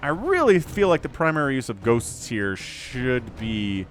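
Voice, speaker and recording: A man in his forties giving lively, excitable play-by-play commentary on a strategy game match. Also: mid-range voice, a little slow, slight background noise. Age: 40s. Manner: animated, excitable, self-deprecating asides. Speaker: game caster. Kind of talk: esports commentary.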